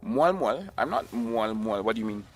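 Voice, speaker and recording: A man's voice: fairly low pitch, lively intonation, normal speed, far from the mic. A man from Malaysia, hosting a watch party stream and chatting casually with his viewers, reading out their comments. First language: English. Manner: chatty and offhand, reads out chat comments.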